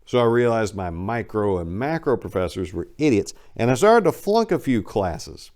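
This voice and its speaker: A man's voice: fairly low pitch, very animated, normal speed, darkish tone. A man narrating a first-person story in a dramatic audiobook reading, with a blunt, streetwise, conversational manner.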